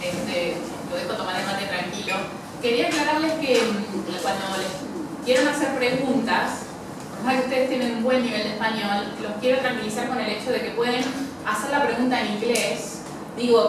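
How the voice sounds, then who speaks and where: A woman teacher explains things to her class, repeating words as she thinks. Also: on the high side. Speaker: Spanish teacher. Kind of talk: classroom announcement. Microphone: far camera. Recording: echoey classroom from far off, noisy.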